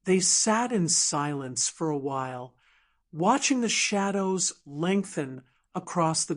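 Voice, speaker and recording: A woman reading a dramatic story in a measured, deliberate audiobook narration style. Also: fairly low pitch. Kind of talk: scripted dramatic fiction narration.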